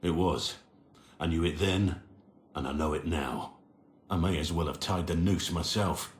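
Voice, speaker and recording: Gruff game warrior, very deep bass, brooding, heavy delivery, echoey room. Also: male voice, a little slow. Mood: haunted. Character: guilt-ridden gruff male warrior confessing his cowardice. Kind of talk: dramatic video game dialogue. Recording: echoey room, faint background noise.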